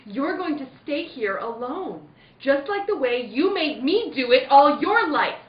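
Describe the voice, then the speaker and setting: A woman's voice: high voice, sing-song, unhurried. A woman playing a coolly taunting, falsely sweet dream impostor, drawn out and theatrical, in old-time radio drama style. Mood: menacing. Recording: roomy, distant, dull, hissy recording.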